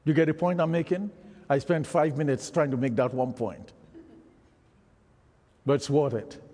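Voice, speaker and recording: A man's voice: a little high, steady tone, moderate pace, warm tone, breathy edge. A man, Isoko his tongue, preaching a sermon and engaging the congregation with questions. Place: church hall. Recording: reverberant hall.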